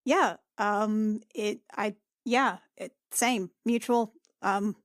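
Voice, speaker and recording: A woman playing a tabletop roleplaying game, chatting and roleplaying casually with halting delivery. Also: medium pitch, fairly even intonation, no echo, studio quality.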